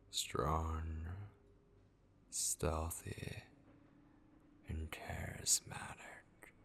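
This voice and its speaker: A man playing a sinister, obsessive voice in a horror ASMR roleplay, breathy and often whispering, taunting a captive vampire with theatrical menace. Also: low-pitched, heavily acted.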